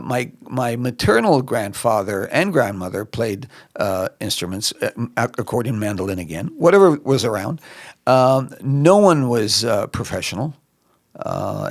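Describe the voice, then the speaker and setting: A man's voice: mid-range voice, creaky, no echo, clean studio sound. A middle-aged man from Lebanon, a musician guest on a podcast, answering in a reflective way with many fillers and restarts. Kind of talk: relaxed interview answers. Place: podcast studio.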